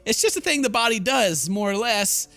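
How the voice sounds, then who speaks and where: A man's voice: sits high, lively intonation, normal speed, gravelly, pushed and bright, soft background music. A man doing goofy, slangy let's play commentary, reading game text aloud with theatrical exclamations. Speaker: gaming YouTuber.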